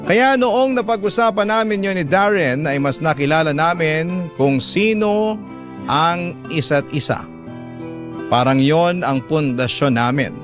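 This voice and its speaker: A man hosting a radio drama, reading a love story as scripted, sentimental narration in measured phrases. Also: on the high side. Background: guitar music.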